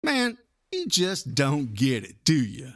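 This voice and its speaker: A man's voice: medium pitch, wildly swooping pitch, slow, fairly bright tone, exaggerated voice. A man playing a comic, evasive president who talks like a casual, defensive slacker in an interview. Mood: sneering, menacing. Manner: casual, defensive, drawling dude talk. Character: evasive, whiny, menacing slacker version of a male president. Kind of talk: improvised-sounding comic interview acting.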